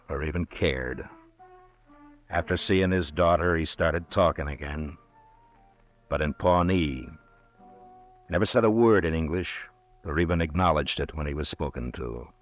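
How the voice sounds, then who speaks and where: An actor in a 1950s radio western playing a gentle, stammering frontier marshal who also narrates the story. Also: male voice, sits low. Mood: reflective. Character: weary, kindly frontier marshal, grown man.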